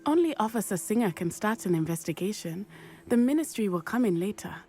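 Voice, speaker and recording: A woman playing a determined, secretive teenage schoolgirl witch in a video game, speaking in an earnest, formal, hushed way. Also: mid-range voice, expressive, a little fast, a little hiss or hum, natural voice. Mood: earnest, resolute. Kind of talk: video game cutscene acting.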